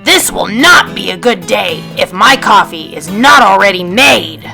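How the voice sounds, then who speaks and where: A woman voicing a grumpy, easily exasperated male goose from a storybook, exclaiming with drawn-out, emphatic words. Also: medium pitch, lively intonation, gravelly, bright, forceful tone, faint music behind, exaggerated voice.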